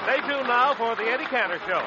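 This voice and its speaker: Animated high-voiced 1940s radio announcer, male. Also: quick pace, over a noisy crowd. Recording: muffled 1940s radio broadcast with loud music.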